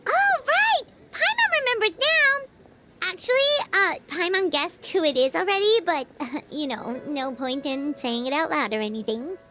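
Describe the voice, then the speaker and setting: A woman voicing a small, childlike, excitable and easily flustered fairy companion in a video game. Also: very high-pitched, unhurried, heavily acted. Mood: excited, then bluffing, flustered. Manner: speaks of self in third person, stammering.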